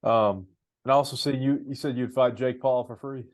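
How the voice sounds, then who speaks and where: A man's voice: medium pitch, fairly even intonation, moderate pace. A man hosting a podcast, asking questions in a casual, conversational interview style.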